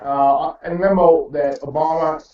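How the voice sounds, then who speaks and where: A man talking casually in a group discussion, halting and repeating himself. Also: fairly high pitch, fairly even intonation. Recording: very echoey, distant, dull mic.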